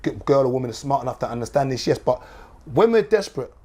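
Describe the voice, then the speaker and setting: A man's voice: medium pitch, lively intonation, fast talker, dark tone. A man co-hosting a casual podcast, building long arguments and acting out what other people might say. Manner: acts out quotes in other voices, builds arguments in long runs. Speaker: podcast co-host.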